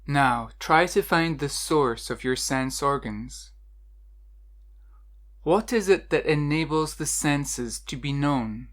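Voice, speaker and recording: A meditation guide narrating a scripted guided meditation in a measured, instructional way with deliberate pauses. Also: mid-range voice.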